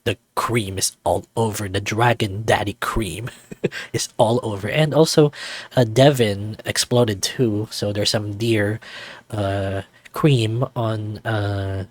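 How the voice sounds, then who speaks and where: A man's voice: fairly low pitch, steady tone, slow, dry recording. A man reading a visual novel aloud as channel host, with coy, hesitant commentary. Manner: giggly, coy, hesitant asides.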